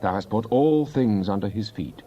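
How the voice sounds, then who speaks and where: A man's voice: fairly low pitch, expressive, moderate pace, warm tone, slightly rough, muffled recording. A man playing a fervent psalmist in a dramatized Bible reading, solemn and declamatory in archaic scripture language. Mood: exalted praise. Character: fervent psalmist pleading with and praising God.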